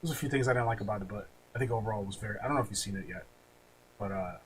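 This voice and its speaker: A man's voice: on the low side, very fast, rough recording. A man hosting a podcast, chatting casually with opinions and the odd swear word. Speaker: podcast host. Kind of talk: casual opinionated film-ranking chat. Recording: clearly audible background noise.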